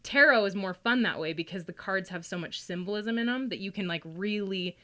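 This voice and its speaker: A woman's voice: mid-range voice, a little fast, sharp tone. A woman chatting casually and informally as a guest, explaining things in a loose, talkative way. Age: adult, parent. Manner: chatty, informal, frequent laughing asides. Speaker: guest, tarot and astrology reader.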